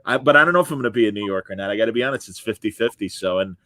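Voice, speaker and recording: A man's voice: on the low side, lively intonation, racing, bright, forceful tone, dry recording. A man hosting an interview podcast, chatty and enthusiastic, often restarting his sentences. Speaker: podcast host. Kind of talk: casual interview conversation. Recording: dry close sound.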